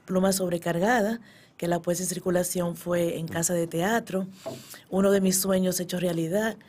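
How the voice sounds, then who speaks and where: A middle-aged woman speaks with a Dominican accent in a fairly low voice. She is a guest being interviewed, recounting her experiences in a casual, conversational way. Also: steady tone, normal speed, darkish tone. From born in the Dominican Republic, emigrated to the US at ten. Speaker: guest, poet and actress.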